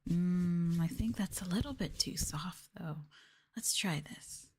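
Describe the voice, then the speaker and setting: Girl streamer doing asmr, soft gentle talking n whispering right up on the mic, woman's voice. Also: on the low side, unhurried, a little hiss or hum. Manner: gentle hushed delivery, often slipping into whisper. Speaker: streamer host of an ASMR livestream. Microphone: close microphone for headphone listening.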